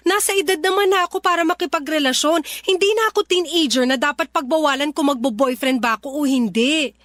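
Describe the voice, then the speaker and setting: High-voiced earnest young Filipina. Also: female voice, brisk, fairly bright tone, dry recording, studio quality. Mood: pleading defiance. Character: earnest, defiant young adult daughter in love. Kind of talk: Tagalog radio drama acting.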